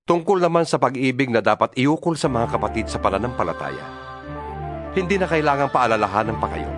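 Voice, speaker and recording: A man's voice: medium pitch, big pitch swings, brisk, music in the background, natural voice. A man playing an earnest, fatherly apostle exhorting his flock in a dramatized Bible reading. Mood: solemn, exhorting.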